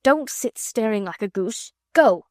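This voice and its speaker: A woman's voice: a little high, expressive, a little slow, no room sound, clean studio sound. A woman playing a cold, commanding headmistress. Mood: cold scornful. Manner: clipped, commanding, scornful. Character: stern, cold middle-aged headmistress.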